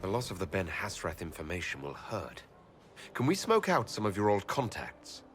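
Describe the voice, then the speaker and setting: A man's voice: low voice, big pitch swings, moderate pace, a bit bright, faint music behind. A man playing a composed, caring elven leader in a fantasy game, speaking directly. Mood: concerned. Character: composed, caring male elf leader, Inquisitor of a fantasy army. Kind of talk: cinematic fantasy game dialogue. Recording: background noise.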